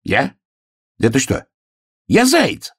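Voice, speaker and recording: A man voicing an excitable, naive young hare in a storybook tale, blurting and exclaiming in easy astonishment. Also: fairly high pitch, slow pace, no echo, clean studio sound, pitched above the performer's own voice, heavily acted. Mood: indignant, astonished.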